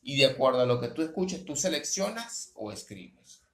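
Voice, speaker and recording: A man's voice: medium pitch, very animated, normal speed, roomy sound. A man from Latin America co-hosting a casual podcast about learning English, often repeating words as he thinks. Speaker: podcast co-host, English teacher.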